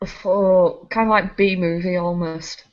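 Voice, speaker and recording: A woman's voice: fairly low pitch, fairly even intonation, takes it slowly. Chatty woman, muffled audio. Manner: chatty, laughs often, restarts sentences. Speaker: podcast co-host. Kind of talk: casual gaming chat. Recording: slightly muffled home recording.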